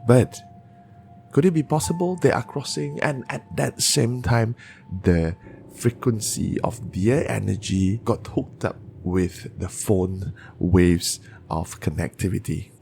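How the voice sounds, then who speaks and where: A man narrating bedtime horror stories in a deliberate, dramatic way, with pauses. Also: on the low side, animated, vocal fry, darkish tone, a little hiss or hum.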